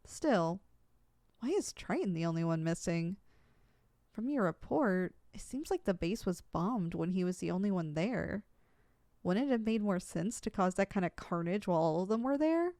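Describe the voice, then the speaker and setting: A woman's voice: on the low side, expressive, normal speed, dark tone, no echo, studio quality. A woman playing a proud but easily flustered, lovesick young supervillain in an affectionate, dramatic ASMR roleplay. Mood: annoyed, pondering.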